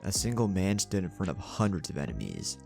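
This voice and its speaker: Deep, creaky male story narrator. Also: very animated, fairly quick, darkish tone, faint music behind. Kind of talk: dramatic scripted fan fiction narration.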